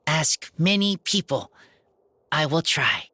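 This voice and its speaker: A man voicing a shy young man raised by wolves, speaking in short halting sentences. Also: fairly high pitch, big pitch swings, pushed and bright, slight background noise, heavily acted. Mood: earnest. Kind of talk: game voice acting.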